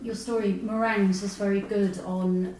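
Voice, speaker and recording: A woman's voice: medium pitch, little pitch movement, moderate pace, mellow. A woman interviewing in an informal literary discussion, tentatively searching for the right words. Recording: echoey room, distant mic, faint noise.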